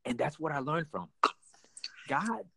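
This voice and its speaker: A man's voice: on the high side, sing-song, unhurried, gravelly, a bit bright, no echo, clean studio sound. A young man from Houston, Texas, earnestly telling his life story as an interview guest, with many fillers and restarts. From United States, raised in Houston, Texas. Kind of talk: personal testimony in an interview.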